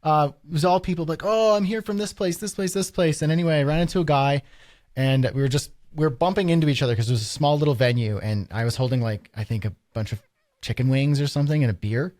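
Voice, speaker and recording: A man's voice: on the high side, quick pace, a little mellow, no echo, studio quality. Casual Canadian man telling stories. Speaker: podcast co-host. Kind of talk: casual anecdotal podcast chat.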